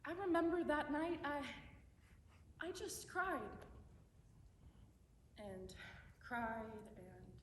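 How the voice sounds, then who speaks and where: Girl acting out a guilty mom writing to her kid, shaky stop-start delivery, kinda high voice, echoey room far from the mic. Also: big pitch swings, exaggerated voice. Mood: tearful. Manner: halting, emotional, stumbling restarts. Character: remorseful middle-aged mother writing to her estranged son. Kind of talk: dramatic monologue performance. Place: competition room, indoors. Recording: echoey room, distant mic, faint background hum.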